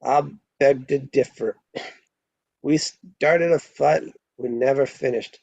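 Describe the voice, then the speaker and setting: A man's voice: medium pitch, takes it slowly, creaky, a little mellow, no echo, voice pushed up. A man voicing a sickly, sardonic, coolly defiant gunslinger in a western table read, on a dull microphone.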